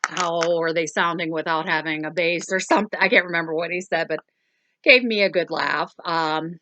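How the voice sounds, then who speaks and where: A woman's voice: lowish voice, steady tone, normal speed, noisy background. A woman hosting a casual doll review, rambling along with lots of ums and self-corrections. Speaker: toy review channel host.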